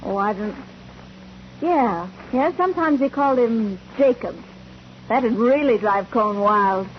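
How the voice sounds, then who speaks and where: A woman's voice: on the high side, expressive, unhurried. Curt, resentful woman on muffled old radio. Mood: hesitant. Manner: terse, informal witness testimony. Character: curt, resentful mother who works as a club stripper. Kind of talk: 1940s radio courtroom drama acting. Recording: muffled, noisy old 1940s radio recording.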